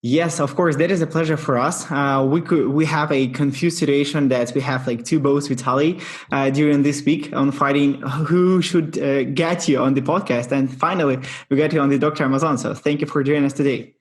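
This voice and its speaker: A man hosting an interview podcast, speaking English as a second language with halting, non-native phrasing. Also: a little high, steady tone. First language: not English. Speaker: podcast host.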